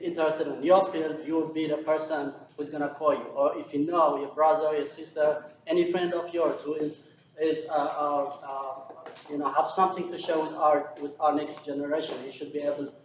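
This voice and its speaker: A middle-aged man addresses a community seminar in a halting way, stopping often on fillers and restarting his sentences. His voice sits a bit high for a man and sounds roomy, picked up from far off in a large hall. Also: a little hiss or hum. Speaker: seminar organizer. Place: large room.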